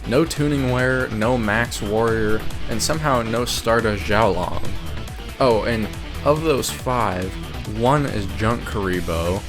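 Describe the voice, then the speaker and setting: A man's voice: medium pitch, slow pace. A man giving scripted, opinionated ranking commentary in a wry way, as a video creator. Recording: music behind the voice. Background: game music.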